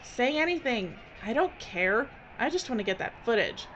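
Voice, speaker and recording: A woman acting a brisk, sardonic, no-nonsense film director in an audio drama. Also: fairly high pitch, very animated, fairly bright tone. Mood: brisk, bossy. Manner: bossy, wry. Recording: dull, slightly noisy recording.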